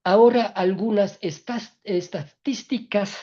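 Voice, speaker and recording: A woman speaking Spanish as a second language at a trade panel, halting with frequent filler sounds, restarts and small grammar slips. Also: medium pitch, mellow, highs cut off. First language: not Spanish. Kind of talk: hesitant panel talk on trade policy.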